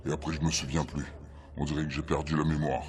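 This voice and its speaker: A man's voice: rumbling low, little pitch movement, fast, darkish tone. A man playing a brusque, confused police commissioner in a radio soap, with a Réunion accent. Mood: bewildered. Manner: brusque, demanding. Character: gruff impatient police commissioner, confused in the afterlife.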